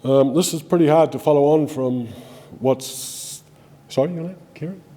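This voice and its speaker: A middle-aged man with a New Zealand accent tells rambling, good-humoured stories at a funeral, pausing on ums and restarting his sentences. His voice is warm and dark. The sound carries a long reverb from a large hall. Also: a little high. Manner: chatty storytelling with frequent ums and restarts. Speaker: friend giving a eulogy. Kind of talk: informal eulogy speech.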